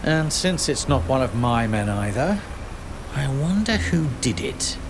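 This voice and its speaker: An actor playing a gruff, suspicious foreman with a curt, dismissive manner in a video game. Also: mid-range voice, sing-song, unhurried, background noise. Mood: grudging acceptance. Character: gruff, sceptical male foreman suspicious of natives. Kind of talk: video game character acting.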